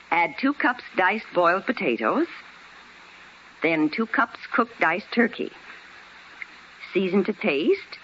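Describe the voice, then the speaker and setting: A woman presenting scripted recipe advice on 1950s radio in a crisp, instructive way. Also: on the low side, a bit bright. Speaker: home economist giving sponsor recipe. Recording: muffled, hissy old radio recording.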